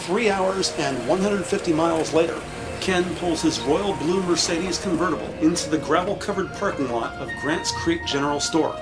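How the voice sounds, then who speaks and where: A man's voice: on the high side, normal speed, poor audio. A man narrating a scripted audio drama in descriptive prose. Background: background music.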